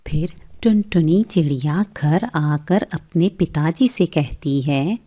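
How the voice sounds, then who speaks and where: A woman's voice: low-pitched, slow pace, mellow. A woman narrating a scripted children's moral story as its storyteller.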